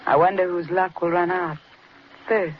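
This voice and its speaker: An actress playing a poised, sweetly menacing wife, with honeyed, pointed politeness in 1940s radio style. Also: female voice, on the low side, fairly even intonation, moderate pace, lightly acted. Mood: veiled menace. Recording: muffled, noisy old radio recording.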